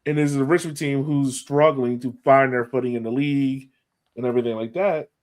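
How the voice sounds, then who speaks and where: An American man talking as a podcast host in casual soccer chat, like an animated fan full of exclamations. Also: mid-range voice, a little fast.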